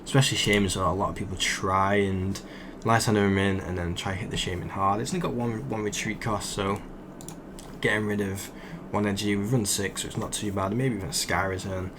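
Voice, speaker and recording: A young man, croaky from just waking up, rambling casually as he commentates a card game he is playing. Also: on the low side, fairly even intonation, brisk, background noise. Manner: rambling, thinks aloud with fillers. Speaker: gaming channel host.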